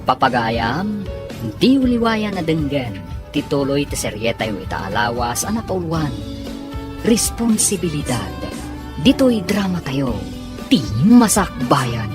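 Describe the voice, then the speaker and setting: A man with an Ilocano accent announcing and narrating a radio drama in an emphatic, scripted theatrical style. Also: a little high. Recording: loud music bed.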